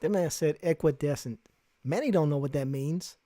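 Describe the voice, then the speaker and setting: A man hosting a relaxed live stream, bantering and teasing with easy laughter. His voice sits a bit higher than most men's. He also chats with viewers and guests while they draw. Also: normal speed. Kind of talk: casual live-stream chat.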